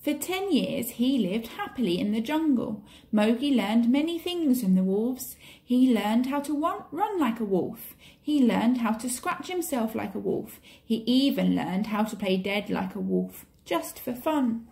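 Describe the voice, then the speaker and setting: A woman's voice: a little high, expressive, measured pace, gravelly, warm tone. A woman reading a children's story expressively, like a teacher to pupils.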